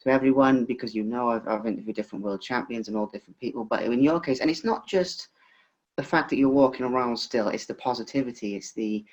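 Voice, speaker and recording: A man with a mid-pitched voice, interviewing informally. His delivery is effusive and wanders as he builds up to a question. The recording is slightly dull and lo-fi. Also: fast, darkish tone. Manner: rambling, effusive. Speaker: interviewer, host.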